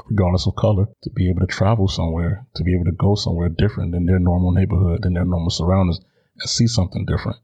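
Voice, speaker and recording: American guy in his 30s doing a solo podcast, dark mellow voice, kinda dull lo-fi audio. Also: deep voice, slightly rough. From Chicago, United States. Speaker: podcast host. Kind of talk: solo podcast monologue, conversational.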